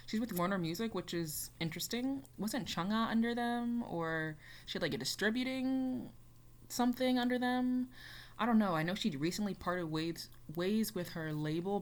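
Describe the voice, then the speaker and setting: A young woman chatting casually as she reacts to a music video, rambling with lots of fillers. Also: on the low side. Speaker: reaction YouTuber.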